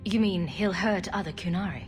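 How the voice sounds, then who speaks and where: A woman playing a composed, diplomatic female champion in a fantasy video game, measured and probing. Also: lowish voice, soft background music, lightly acted. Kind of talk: video game cutscene acting.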